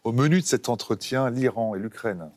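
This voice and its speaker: A man's voice: medium pitch, a little fast, darkish tone. A man with a French accent from France, presenting the news in a conversational interview style.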